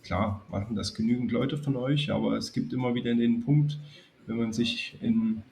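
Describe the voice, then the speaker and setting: A man with a cold, addressing his viewers in a calm, chatty way as he narrates a hobby video. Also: medium pitch, normal speed, gravelly. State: has a cold. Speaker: model railway YouTuber. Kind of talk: casual spoken video narration.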